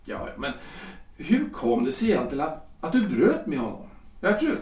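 A man playing a hesitant, self-important husband in his forties, a lawyer and politician, speaking formally and haltingly in a period audio drama. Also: a little high, wildly swooping pitch, darkish tone, distant mic. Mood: probing. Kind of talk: period stage-play audio drama acting. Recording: roomy, echoing, off the microphone.

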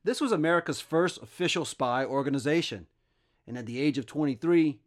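A man hosting a podcast, reading a formal, measured scripted narration. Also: a little high, expressive. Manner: measured, formal storytelling delivery. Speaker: podcast host.

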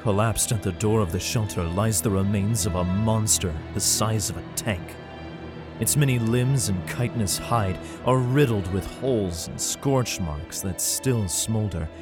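A man playing a weary father who narrates a science fiction audio drama in the first person, grave and measured. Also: lowish voice, lightly acted. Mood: grim. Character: weary father fleeing with his son through a besieged hive city. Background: music.

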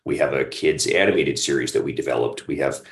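A man with an extremely low voice chats casually as a podcast guest. He is easygoing and talkative, and the sound is noticeably roomy and echoing. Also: fast. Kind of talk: casual interview chat.

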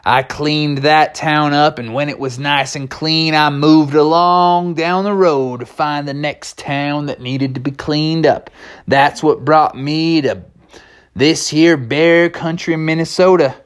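A man voicing a folksy, self-assured cowboy horse, a tough old-west sheriff type with a slow Southwestern drawl, in a children's story. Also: on the high side, fairly even intonation, smooth, voice pushed up. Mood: gruff, determined.

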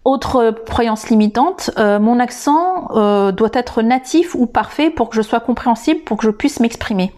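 A woman hosting a podcast lesson, talking to the listener informally and pausing often with filler sounds. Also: fairly high pitch, expressive, darkish tone. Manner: hesitant, many filler sounds and restarts; addresses the listener directly and informally. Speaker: English teacher and podcast host.

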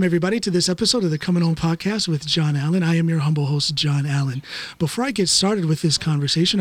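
A man's voice: on the high side, breathy edge. A middle-aged or older man with an American accent, hosting a casual, conversational podcast interview. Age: 50s or older. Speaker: podcast host.

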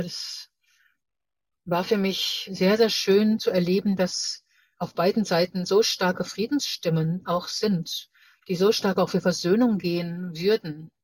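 Mature woman, from Germany, slightly low pitch, dry room sound, reflective, halting personal recollection. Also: no room sound, low-quality recording. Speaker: interview guest, journalist and activist.

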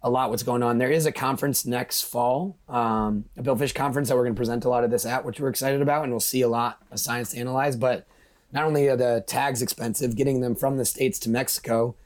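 A man's voice: medium pitch, fairly even intonation, fast. A man speaking as a podcast guest, explaining things in a casual, informal and enthusiastic way. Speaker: podcast guest, project member. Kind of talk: casual explanatory interview talk.

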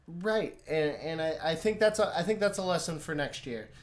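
A man co-hosting a casual sports talk show, speaking loudly and emphatically. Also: on the high side, lively intonation, moderate pace. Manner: loud, emphatic. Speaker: podcast co-host.

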